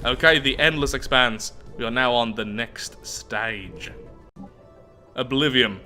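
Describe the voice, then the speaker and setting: A man's voice: mid-range voice, unhurried, bright, forceful tone, faint music behind. A man giving chatty roleplay commentary on a strategy game, thinking aloud as he goes. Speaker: gaming YouTuber host.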